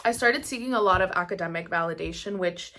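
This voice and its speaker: A woman's voice: lowish voice, quick pace, bright, forceful tone. Candid, chatty young woman vlogger. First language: Spanish. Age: 20s. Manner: candid, chatty, frequent "like" and "you know". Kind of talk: casual personal storytelling to viewers.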